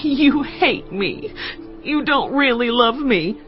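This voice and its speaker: A hurt young wife in a biblical audio drama, pleading in a high voice that swings with emotion. She is tearful and reproachful, with faint music behind. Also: lively intonation, slow pace, highs cut off.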